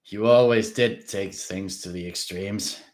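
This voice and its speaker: A man reading aloud, voicing a stern old male vampire who speaks in a formal, grave, measured way. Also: sits low, steady tone. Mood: fond farewell.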